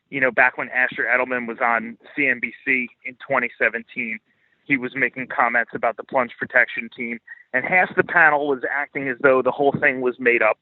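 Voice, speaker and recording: A man's voice: medium pitch, no room sound. A man giving heated, opinionated interview commentary as a podcast guest on financial markets, blunt, emphatic and freely swearing. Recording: band-limited phone-like line, dry.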